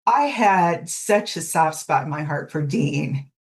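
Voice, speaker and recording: A woman's voice: low-pitched, animated, slow. A woman speaking as a podcast guest in a casual interview, reflective and effusive with emphatic asides. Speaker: podcast guest, fanfiction audiobook creator.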